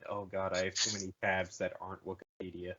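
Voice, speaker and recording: A man's voice: sits low, completely flat delivery, fast, bright, forceful tone, muffled recording. A man chatting casually as a podcast co-host. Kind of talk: casual chat.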